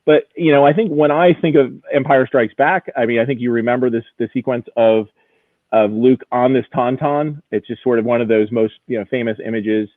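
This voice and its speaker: A man presenting auction lots in an informal live preview over a video call, enthusiastic and detailed, often pausing to find his phrasing. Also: medium pitch, fairly even intonation, dry recording, narrow, tinny audio.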